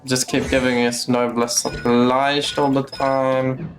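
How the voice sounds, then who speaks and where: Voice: mid-range voice, little pitch movement, takes it slowly. Blunt game streamer over music. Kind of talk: casual live gameplay commentary.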